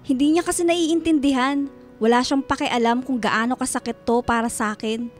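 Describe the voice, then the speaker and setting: A woman's voice: high-pitched, steady tone, moderate pace, soft background music, close to an everyday voice. A woman playing a hurt, resentful schoolgirl in a radio drama, tearful and protesting. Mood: hurt, angry. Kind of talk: Filipino radio drama acting.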